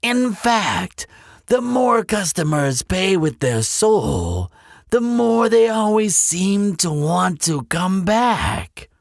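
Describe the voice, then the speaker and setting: Demon front-desk clerk for an audio roleplay, male actor: lilting, creaky delivery, courteous salesman with a sly, coaxing streak. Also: high-pitched, very animated, dry recording, studio quality, heavily acted. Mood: coaxing, reassuring. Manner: unhurried, polite, coaxing salesmanship. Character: smooth-talking male demon hotel receptionist, courteous and slyly persuasive. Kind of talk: scripted audio roleplay addressed to the listener.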